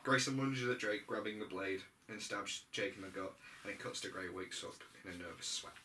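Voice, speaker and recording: A man's voice: fairly low pitch, a little fast, pushed and bright. A man reading stage directions aloud at an amateur script read-through, sometimes stumbling over the text. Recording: distant mic, faint room noise.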